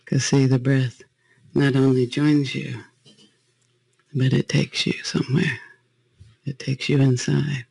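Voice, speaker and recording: A man leading a guided meditation as a spiritual talk, phrasing gently and unhurriedly with pauses. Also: medium pitch, level tone, creaky, slightly rough, dry recording, rough recording.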